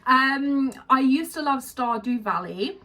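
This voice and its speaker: Higher-voiced woman podcaster, twenties. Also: expressive, takes it slowly, sharp tone. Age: 20s. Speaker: podcast host. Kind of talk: casual chat.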